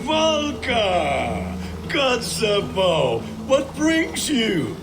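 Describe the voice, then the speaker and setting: A man's voice: high-pitched, wildly swooping pitch, extremely slow, creaky, music in the background, exaggerated voice. A man playing a boisterous, teasing Viking villager in a video game. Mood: jovial, teasing. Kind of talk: dramatic video game acting.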